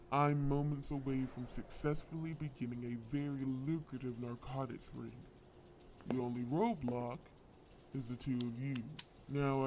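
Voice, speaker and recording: An actor playing a smug, lovesick crime lord in an audio roleplay, drawling and long-winded with polite menace. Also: male voice, mid-range voice, a little mellow, a little hiss or hum, close to an everyday voice. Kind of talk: scripted audio roleplay acting.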